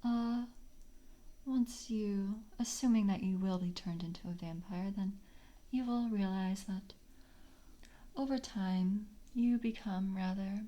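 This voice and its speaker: A woman voicing a calm, jaded vampire screener in an ASMR roleplay, speaking softly in a breathy half-whisper with a measured, politely official manner. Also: mid-range voice, close to an everyday voice. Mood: calm, world-weary. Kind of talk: soft-spoken ASMR roleplay.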